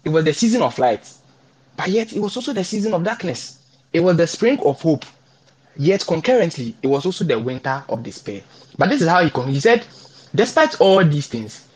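Ghanaian man giving lively scholarship advice. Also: on the high side, normal speed, husky, poor audio. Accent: Ghanaian English. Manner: animated, repeats key points, acts out emails he wrote. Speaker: scholarship guest speaker. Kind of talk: motivational advice talk.

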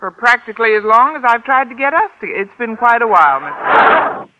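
A woman's voice: on the high side, sing-song, brisk, lo-fi sound. An American actress playing a wry, middle-aged woman schoolteacher with dry, sardonic wisecracks in a 1950s radio sitcom. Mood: indignant, wry. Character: wry, wisecracking single woman high school English teacher. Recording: hissy old radio broadcast.

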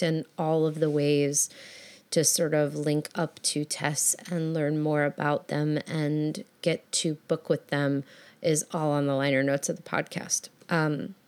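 Gravelly low-voiced woman podcast host. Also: darkish tone. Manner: effusive, gushing, many fillers. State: scratchy voice. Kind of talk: casual podcast chat.

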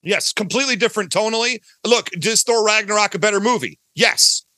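A man's voice: high-pitched, brisk, pushed and bright, no room sound. A man from Detroit with an American accent, chatting casually and emphatically as a podcast guest who explains his creative work. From Detroit, United States.

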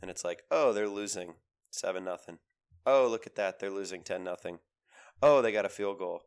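A very low, smooth male voice, thickened by a cold. He co-hosts a casual sports podcast and talks in an animated, emphatic way, often repeating his points. He sounds like a man in his thirties. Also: normal speed. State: has a cold, voice lower than usual. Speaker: podcast co-host.

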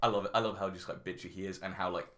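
A man's voice: low voice, very fast, a little hiss or hum. A man from Greater Manchester co-hosting a podcast, chatting casually and enthusiastically. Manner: enthusiastic, lively. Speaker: podcast co-host.

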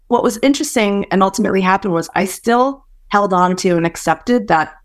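Reflective woman with a low voice. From born in Malaysia, raised in the US. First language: Hokkien. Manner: thoughtful, searching, with small restarts. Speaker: company co-founder, physician scientist. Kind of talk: reflective interview answers.

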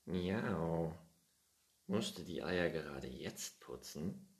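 A man's voice: sits low, expressive, moderate pace, roomy sound, voice pushed up. A man voicing a sulky, meowing storybook cat. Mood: impatient. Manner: sulky, offended replies with meows. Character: impatient touchy young male cat.

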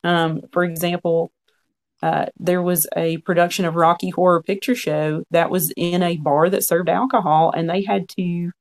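A man's voice: high-pitched, level tone, normal speed. A man in his forties, a podcast guest, telling his story in a frank, earnest conversational way. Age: 40s. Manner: frank, earnest, quotes own past thoughts.

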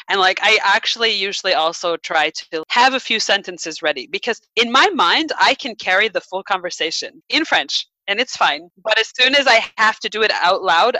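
A woman's voice: fairly low pitch, sharp tone, no echo, rough recording. A young American woman chatting casually, self-deprecating and full of "like" fillers. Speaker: conversation partner. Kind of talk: casual chat.

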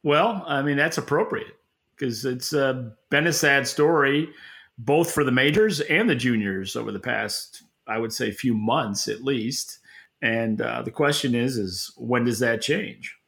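A man's voice: mid-range voice, unhurried, vocal fry, fairly bright tone. A man co-hosting a podcast, giving casual market commentary in a blunt, wry way. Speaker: podcast co-host.

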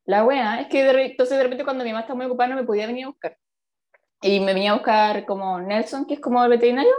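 A woman's voice: fairly high pitch, rapid-fire. A woman in her twenties with a Chilean accent, telling a story in a casual chat, full of slang and laughter. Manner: animated, slangy, laughs often.

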